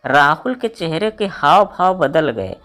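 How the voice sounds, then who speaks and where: A woman's voice: sits low, very animated, unhurried, a bit bright. A woman storyteller reading a scripted moral story as narration.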